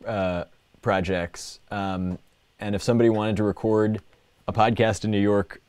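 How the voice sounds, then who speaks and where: A man's voice: on the low side, flat delivery, measured pace, a little mellow, no room sound. A man hosting a casual livestream, chatting in a playful, rambling show-and-tell way. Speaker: livestream host. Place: indoor personal workspace. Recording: clearly noisy room recording with clicks.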